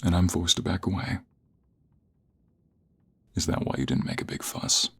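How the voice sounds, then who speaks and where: Man acting a very deep, husky, smug office rival. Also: heavily acted. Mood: challenging, sly. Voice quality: deep, gravelly, husky, breathy, often near whisper. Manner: slow, deliberate, taunting, drawn-out phrases. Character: smug suited office rival, teasing, aggressively flirting. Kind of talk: ASMR romantic roleplay, intimate second-person acting.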